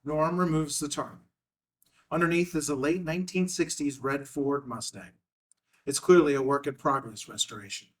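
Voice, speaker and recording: A man's voice: fairly high pitch, moderate pace. Distant-miked man narrating a screenplay. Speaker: narrator reading stage directions. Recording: distant microphone.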